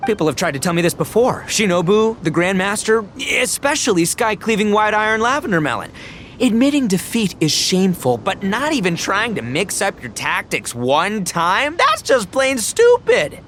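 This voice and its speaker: A man's voice: high voice, very animated, normal speed, a little hiss or hum. An actor playing a boisterous, theatrical young man in a video game, earnest and bombastic. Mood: fired-up resolve. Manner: bombastic, dramatic, swinging from solemn to shouting. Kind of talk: animated video game acting.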